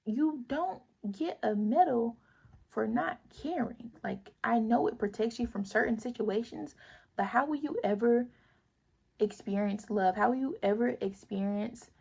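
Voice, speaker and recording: Young woman, raspy, gravelly fry, husky, earnest casual vlog talk. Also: on the high side, unhurried, a little mellow, rough recording. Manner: earnest, emphatic, slangy. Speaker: vlogger.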